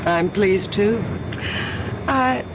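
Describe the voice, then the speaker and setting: A woman's voice: mid-range voice, very slow. A woman playing a polite, friendly airline passenger in a 1970s radio drama. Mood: coy, friendly. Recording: muffled old radio, clear engine-like noise.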